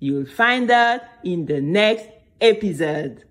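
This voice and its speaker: A nervous, dreamy girl played by a woman narrates her own children's story. Her delivery is slow and very animated, with dramatic, chatty asides to the listeners. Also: mid-range voice. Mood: anxious, hopeful. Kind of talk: scripted first-person storytelling for children.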